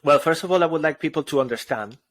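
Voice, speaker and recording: A man's voice: a little high, quick pace. A man from Venezuela with a Venezuelan accent, co-hosting a podcast and giving public speaking tips in an enthusiastic, encouraging way. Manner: enthusiastic, encouraging, repeats key phrases. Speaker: co-host, speaking coach. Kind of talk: motivational podcast talk.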